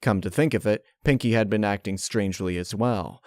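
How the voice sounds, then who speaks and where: Low-voiced male storyteller, close and dry. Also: a little fast, a little mellow, no echo. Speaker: fan fiction reader. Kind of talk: dark audiobook-style narration.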